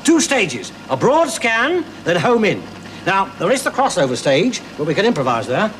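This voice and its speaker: A hands-on male engineer who wants to get practical and has no time for jokes. His voice is high and swings widely. Room echo and hiss sit on the old soundtrack. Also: huge pitch swings, normal speed, a bit bright, exaggerated voice. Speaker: engineer on a research team. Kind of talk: 1970s television drama acting. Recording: echoey, hissy old TV soundtrack.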